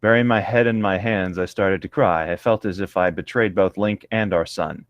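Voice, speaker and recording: A man's voice: sits low, normal speed, dry recording. A man co-hosting, chatting casually and reading a story aloud in a playful, theatrical, mocking way. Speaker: co-host.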